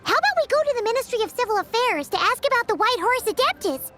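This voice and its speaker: Tiny squeaky fairy girl sidekick, crazy high, bouncy and eager, game voice. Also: very animated, fast, bright, forceful tone, full character voice. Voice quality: squeaky, childlike. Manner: eager, bubbly, speaks of herself in third person. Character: tiny, excitable, childlike floating fairy girl companion. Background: music.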